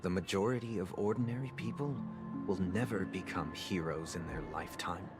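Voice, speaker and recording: An actor playing a solemn, disillusioned man in a video game, speaking in measured, formal, philosophical oratory. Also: low-pitched, natural voice. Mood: grave, resolute. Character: solemn, disillusioned adult brother, an eloquent idealist turned cynic. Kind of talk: scripted video game drama. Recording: loud music behind the voice. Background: music.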